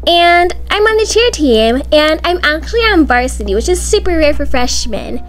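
A woman's voice: sits high, very animated, normal speed. A woman voicing a chatty, insecure fourteen-year-old cheerleader in a cartoon school roleplay. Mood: proud.

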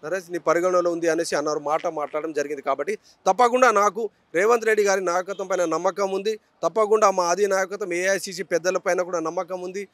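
A man answers a news interview as a political hopeful. His voice is high and he speaks quickly and emphatically, with a dry sound on a handheld mic. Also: steady tone, fairly bright tone, dry recording. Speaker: politician seeking election candidacy. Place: outdoors on a city roadside. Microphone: handheld reporter's microphone.

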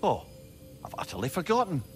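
Curious talking head, highish, very lively intonation, genial, witty, game character, faint ambience. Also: male voice, a little fast, soft background music. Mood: agreeable. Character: inquisitive, witty male severed-head companion. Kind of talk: video game character acting.

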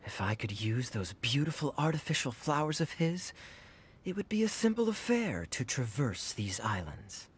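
A male actor voicing a suave young swordsman in a video game, sly and teasing, with smooth, theatrical, sardonic delivery. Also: mid-range voice, very animated, moderate pace. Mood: wry, amused.

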